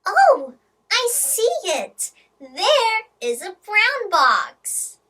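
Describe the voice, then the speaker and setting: A woman's voice: extremely high pitch, big pitch swings, extremely slow, pushed and bright. A woman hosting a playful children's English storytime, with exaggerated, sing-song delivery, repeating words for young learners.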